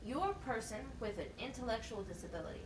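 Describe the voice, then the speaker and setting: A woman acts out a methodical, encouraging teacher in a puppet show. Her voice is fairly high with lively intonation. She guides with plain questions and explanations, heard in a roomy recording. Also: fast talker. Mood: patient, explanatory. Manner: instructive, question-led, plain wording. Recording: roomy, distant mic, faint noise.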